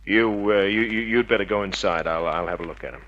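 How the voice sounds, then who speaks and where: An actor playing a wisecracking, cynical private detective with an American accent, dry and sardonic in a 1950s radio drama manner. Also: male voice, deep voice, fast talker, a bit bright. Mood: businesslike. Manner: dry, sardonic, hard-boiled. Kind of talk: 1950s hard-boiled radio drama acting and narration. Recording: muffled vintage radio recording.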